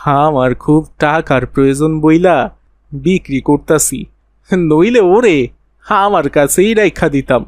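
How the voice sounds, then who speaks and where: A man's voice: on the high side, unhurried, no echo, clean studio sound, natural voice. A man voicing a persuasive cattle seller in a cartoon, with a rural Bangladeshi dialect and a coaxing, salesmanlike manner. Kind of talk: cartoon voice acting.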